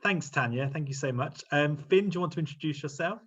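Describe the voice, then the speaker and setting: A man's voice: fairly high pitch, fairly quick, fairly bright tone, breathy edge. A middle-aged man, a university lecturer, hosting a panel on a video call in a friendly, hesitant way with many fillers. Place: home room. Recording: slightly muffled video call audio.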